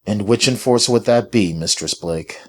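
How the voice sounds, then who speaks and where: A man's voice: mid-range voice, sing-song, unhurried, voice pushed up. A man voicing a cold, pompous, irritable master who speaks formally and with authority in a story. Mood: icy.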